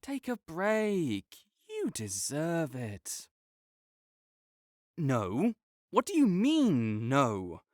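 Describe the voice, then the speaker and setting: A smug young academic rival, voiced by a performer teases and talks down with sarcastic, half-flustered condescension. The delivery is slow and drawn out, with pitch swinging widely from line to line. The voice sounds dry and close, with no room around it. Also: huge pitch swings, darkish tone, no echo, full character voice. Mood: annoyed teasing. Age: young adult. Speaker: audio roleplay performer. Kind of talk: scripted audio roleplay acting.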